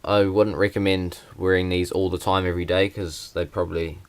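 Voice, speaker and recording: A young man, speaking in a deep voice that barely moves in pitch. He talks plainly and haltingly, with many fillers, as he shows things to a camera. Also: little pitch movement, clear, resonant tone. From New Zealand. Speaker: vlogger. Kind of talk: casual unboxing vlog.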